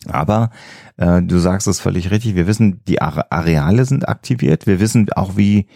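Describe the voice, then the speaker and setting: A man's voice: low voice, moderate pace, vocal fry, warm tone, no echo, clean studio sound. A man who explains things as a podcast host, often rephrasing himself as he goes.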